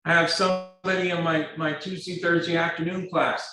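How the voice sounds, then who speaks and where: A man lecturing a math class, speaking in a halting, earnest way with repeated words and many fillers. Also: fairly high pitch, flat delivery, pushed and bright. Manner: earnest, pleading. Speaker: math instructor. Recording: very echoey classroom, distant mic.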